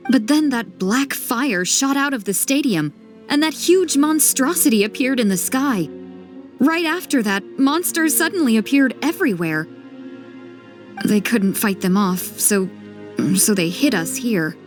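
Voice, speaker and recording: A woman playing a gentle, weary refugee mother in a video game, speaking earnestly and soothingly. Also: a little high, expressive, measured pace, soft background music. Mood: distressed recounting.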